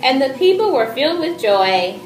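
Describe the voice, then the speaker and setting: Woman narrating a play out loud, really high voice, echoey room w music behind. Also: very animated, moderate pace. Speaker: narrator and presenter. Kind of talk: read-aloud stage narration.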